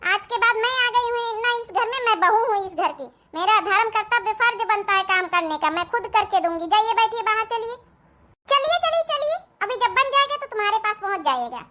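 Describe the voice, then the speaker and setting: A performer plays a young city-bred daughter-in-law in a family cartoon. Her voice is extremely high and piercingly bright. She is kind and confident, reassuring everyone as she takes charge. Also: lively intonation, fairly quick, exaggerated voice. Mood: firm. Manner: reassuring, take-charge, speaks standard Hindi. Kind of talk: Hindi family cartoon dialogue.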